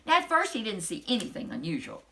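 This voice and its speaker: A woman reading a children's story aloud in an expressive storytelling style, with dramatic emphasis and casual asides. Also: mid-range voice, quick pace, creaky.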